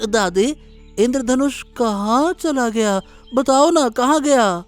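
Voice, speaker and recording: A performer voicing an excitable young village grandson full of wonder in a cartoon story, eagerly repeating words. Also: medium pitch, takes it slowly, smooth, faint music behind. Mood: eager, curious. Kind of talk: Hindi cartoon story dialogue.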